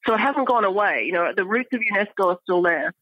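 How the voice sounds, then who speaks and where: A woman speaking as an academic guest in an interview, explaining things in a measured, scholarly manner. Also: medium pitch, lively intonation, dry recording, muffled recording. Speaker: guest academic, archaeology professor.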